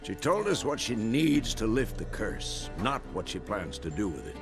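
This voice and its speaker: An actor playing a weary old male warrior mentor in a fantasy game, speaking in a measured, wry, grumbling way. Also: lowish voice, very animated, music in the background. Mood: resigned. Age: older. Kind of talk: video game acting.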